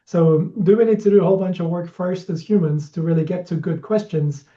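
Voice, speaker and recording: A man asking a long, measured interview question, hedging often with "kind of". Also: sits high, dark tone. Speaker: interviewer, audience member. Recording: dull lo-fi audio.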